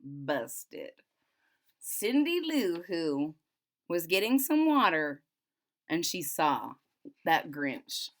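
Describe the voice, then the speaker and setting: Slow-paced woman reading a storybook aloud. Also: low voice. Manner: expressive, explaining as to young children. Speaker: storybook reader. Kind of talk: read-aloud storytelling with simple casual asides.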